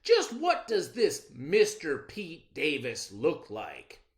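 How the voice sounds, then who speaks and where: A man performs a bossy storybook farmer guarding his patch. The delivery is emphatic and scolding, jumping up high and swinging widely in pitch. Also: huge pitch swings, slow, echoey room, full character voice. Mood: suspicious, indignant. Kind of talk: children's storybook read-aloud.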